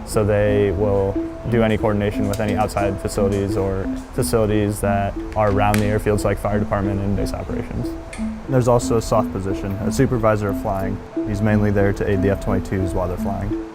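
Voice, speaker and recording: Low, even-toned man over music. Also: a little fast. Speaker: military air traffic controller. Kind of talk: documentary interview. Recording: music bed behind voice.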